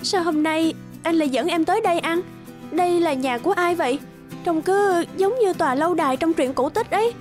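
A tender young woman in a cartoon. Her voice sits high, sincere and sensitive, over quiet background music. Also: fairly quick. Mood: soft, sincere. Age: young adult. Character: gentle, sincere, wounded young sweetheart. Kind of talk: cartoon voice acting.

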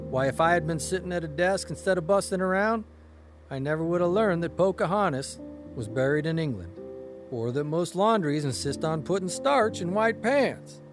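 A man's voice: fairly high pitch, lightly acted. An American man playing a wry, teasing newspaper columnist in an audio drama. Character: wry, teasing, middle-aged travelling newspaper columnist husband. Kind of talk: audio drama dialogue and storytelling.

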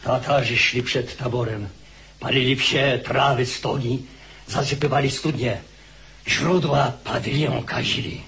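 Sober male war chronicler, medium-pitched. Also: fairly even intonation, unhurried, fairly bright tone, slightly rough, heavily acted. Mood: sober, tense. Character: sober war chronicler reporting siege and march. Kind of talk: dramatised radio narration.

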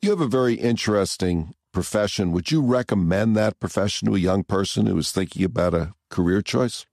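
A man with a very low voice hosts a podcast, putting questions to his guest in a measured, plain manner. He is a criminal defense lawyer. The recording is dry and close, with no room sound. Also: creaky, a little mellow, no echo. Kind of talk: interview questions.

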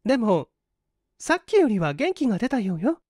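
A man's voice: extremely high pitch, sing-song, moderate pace, darkish tone, no echo, studio quality, voice pushed up, exaggerated voice. A man voicing a desperate, poor young woman in a storybook reading, tearful and defiant with polite feminine speech. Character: desperate, sharp-tongued hungry young woman in shabby clothes.

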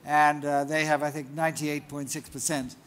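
A man hosts an onstage interview at a large conference and steers the talk with prompting questions. He laughs easily along with his guest. His voice sits a little higher than most men's and is picked up close by a lapel mic. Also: flat delivery, moderate pace, a bit bright.

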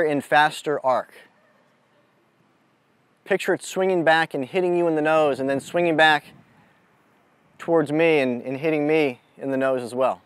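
A man speaking as a mental toughness coach, giving a deliberate, instructive motivational lesson to a group out in the open. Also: on the high side, a bit bright, no room sound. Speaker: mental toughness coach leading a youth clinic. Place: outdoors on an amphitheater lawn.

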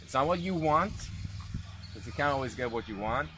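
A man's voice: medium pitch, expressive, normal speed. A young man, a tour guide explaining to a seated group on a park lawn and asking them questions. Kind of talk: outdoor guided tour talk. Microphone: handheld camera held close by the filmer. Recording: outdoor noise, birds and passing vehicles.

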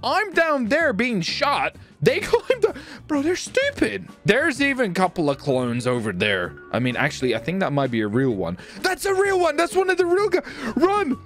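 Man, high-pitched, very animated, excitable gameplay commentary, repetitive phrasing, music behind. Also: moderate pace, hardly any pauses. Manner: excitable, giggly, repeats phrases. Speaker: gaming video creator.

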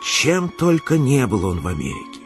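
An actor narrating a Russian literary radio play as an expressive, measured male storyteller. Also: medium pitch, music in the background, close to an everyday voice. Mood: reflective.

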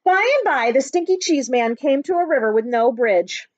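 A woman in her thirties reading a picture book aloud to schoolchildren with expressive storytelling. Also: high-pitched, normal speed, dry recording. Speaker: school staff reading to pupils. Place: small book-lined room at home. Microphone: webcam at arm's length. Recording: dull, lo-fi webcam sound.

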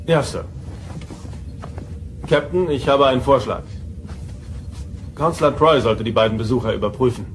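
An actor playing a firm, composed starship first officer in an audio drama, formal and matter-of-fact. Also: male voice, mid-range voice, normal speed, long pauses, a little hiss or hum, close to an everyday voice. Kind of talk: German audio drama acting.

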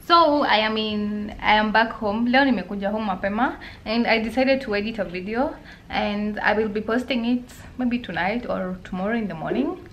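A woman's voice: mid-range voice, normal speed, reverberant, in a large hall, dull audio. Young woman vlogging into a handheld camera. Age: young adult. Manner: chatty, loose and rambling, restarts phrases. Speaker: travel vlogger. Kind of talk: casual vlog to camera. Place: hotel rooms, a car and outdoors. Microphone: handheld camera at arm's length. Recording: handheld camera audio with background noise.

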